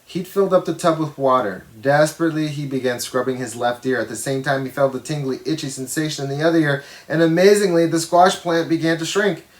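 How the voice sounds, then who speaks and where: A man's voice: a little high, fairly quick, few pauses. A man reading a children's storybook aloud expressively, with simple explanatory asides for young listeners. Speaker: storybook reader.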